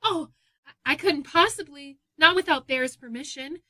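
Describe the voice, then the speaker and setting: An actress playing a polite, protective wife who speaks hesitantly in a modern radio play. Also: female voice, high voice, unhurried, sharp tone, dry recording. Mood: concerned, hesitant. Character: Diana, protective, polite wife of a private playwright.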